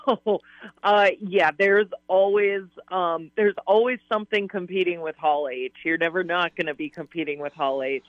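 A slightly hoarse woman talking eagerly over a tinny, phone-like line. She laughs often and strings long, rambling sentences together. The sound is dry and close, with no room around it. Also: on the low side, fairly even intonation, normal speed, no room sound. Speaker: science advisor and author, interview guest. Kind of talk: casual radio interview answers.

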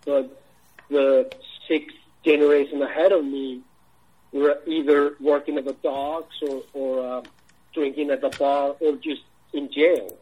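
A man from Iceland with an Icelandic accent, a film director answering interview questions in a halting way, full of restarts. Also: medium pitch, flat delivery, husky, highs cut off. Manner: halting, many restarts and fillers.

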